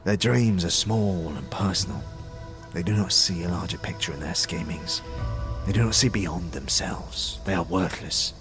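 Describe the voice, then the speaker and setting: An actor playing a talking skull, a dead anarchist who is bitter, conspiratorial and speaks in streetwise slang, in a fantasy audio drama. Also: male voice, low voice, moderate pace, music in the background. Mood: bitter, guarded. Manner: streetwise slang, scornful, guarded.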